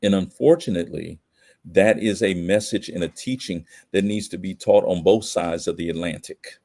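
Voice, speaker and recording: Low-pitched, emphatic American man. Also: moderate pace, gravelly, warm tone. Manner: emphatic, rhetorical questions, slangy. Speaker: podcast guest. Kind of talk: opinionated conversational commentary.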